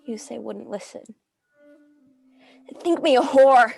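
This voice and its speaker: A woman's voice: fairly high pitch, slow pace, gravelly, a little mellow, breathy edge, soft background music, exaggerated voice. A woman playing a grieving, defiant widow bent on revenge in a dramatic script reading. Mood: anguished.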